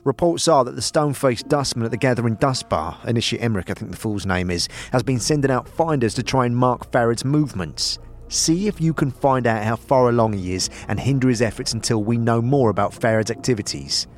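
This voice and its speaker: A man's voice: mid-range voice, brisk, hardly any pauses, faint music behind, close to an everyday voice. A man voicing a scheming letter writer who gives disdainful, formal instructions in an audio drama. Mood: condescending, brisk.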